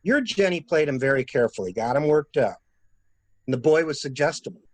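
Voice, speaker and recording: A man playing a calculating murderer who poses as a helpful investigator, musing theatrically in measured phrases in an amateur mystery play. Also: a little high, expressive, dry recording. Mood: musing, insinuating. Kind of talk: amateur radio-play style mystery acting.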